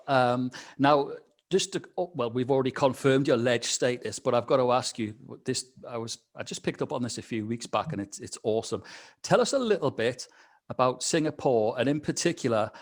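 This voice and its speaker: A man's voice: medium pitch, normal speed. A man hosting a casual interview, enthusiastic and using frequent fillers. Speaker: podcast host.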